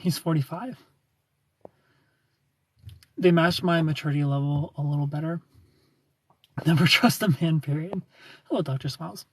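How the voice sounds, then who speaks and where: A man's voice: a little high, moderate pace, long pauses, breathy edge. A man in his thirties hosting a casual livestream, reading viewer comments aloud, joking about himself and laughing often. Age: 30s. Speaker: livestream host.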